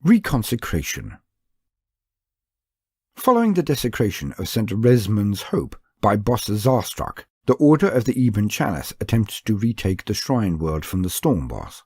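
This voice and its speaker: A man's voice: fairly low pitch, wildly swooping pitch, a little slow, darkish tone, breathy edge, no room sound, clean studio sound. A man reading a scripted, dramatic narration of fantasy war lore as a storyteller.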